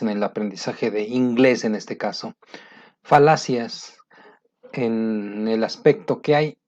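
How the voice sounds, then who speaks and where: A middle-aged Latin American man giving an encouraging English lesson in a casual talking style, pausing often with fillers. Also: mid-range voice, darkish tone. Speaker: English teacher. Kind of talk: motivational video lesson. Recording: muffled lo-fi recording.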